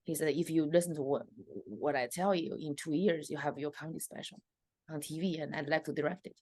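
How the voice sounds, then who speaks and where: A woman in her thirties with a Chinese accent tells her story in an interview, animated and full of fillers. Her voice sits low, and the sound is dry and close. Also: a little fast, no echo. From China. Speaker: stand-up comedian guest.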